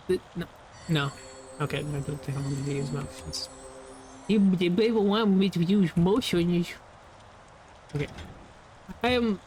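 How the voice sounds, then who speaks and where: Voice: medium pitch, darkish tone, slight background noise, low-quality recording. A young adult gamer talking loosely over gameplay, rambling, laughing often and swearing casually. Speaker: gameplay video creator.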